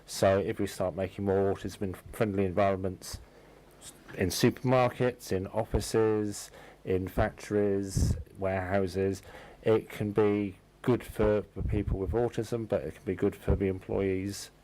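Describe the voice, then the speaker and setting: Male interview guest, lowish voice, monotone, measured, explanatory. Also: low-quality recording. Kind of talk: conversational radio interview answers.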